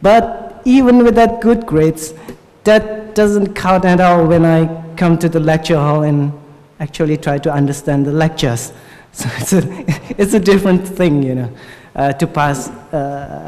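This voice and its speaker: A man in his twenties with an Indonesian accent speaks as a student on a panel. His voice is mellow and a little high, and he searches for words as he goes. The large hall adds long reverb. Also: a little slow. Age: 20s. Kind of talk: informal spoken remarks at a panel discussion. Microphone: gooseneck table microphone.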